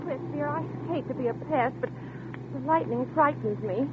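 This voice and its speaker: An actress playing a lively young wife who chatters brightly but scares easily, in 1940s radio acting. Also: female voice, high-pitched, natural voice. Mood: frightened. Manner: bright, chatty, with quick nervous laughs. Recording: tinny old radio broadcast with faint hiss.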